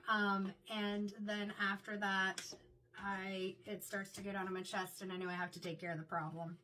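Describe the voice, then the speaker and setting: A middle-aged woman, a card reader and medium, talking in a chatty, reflective way, her voice a little raspy from illness. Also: medium pitch, little pitch movement, moderate pace, distant mic, background noise. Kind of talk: casual spoken tarot reading intro.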